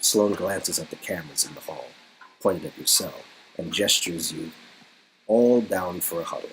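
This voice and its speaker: Lowish-voiced man narrating a story game. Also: moderate pace, a bit bright, soft background music, poor audio. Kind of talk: casual scripted narration.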